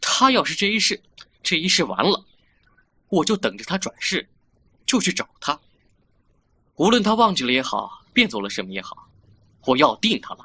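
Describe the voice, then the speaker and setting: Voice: medium pitch, big pitch swings, normal speed, long pauses, pushed and bright, slightly rough, heavily acted. A performer playing a mouthy, petulant young fox-spirit boy in a Chinese audio drama, scolding and cocky. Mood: defiant.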